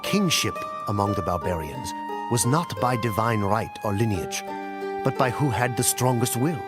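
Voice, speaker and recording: Low-voiced man narrating over music. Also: lively intonation, moderate pace. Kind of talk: scripted dramatic storytelling narration for a game cutscene. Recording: music playing under the voice. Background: clear background music.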